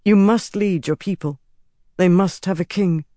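A man reading aloud, voicing a cold, commanding young empress who speaks in measured, haughty sentences. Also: sits high, fairly even intonation, dark tone, no room sound, clean studio sound, voice pushed up.

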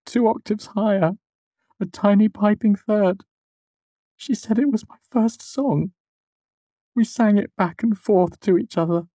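A man's voice: high-pitched, unhurried, long pauses, mellow, dry recording, pitched above the performer's own voice. A man voicing a grieving young man who speaks through tears with a choked, strained voice. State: crying. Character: grieving young man, choking back sobs.

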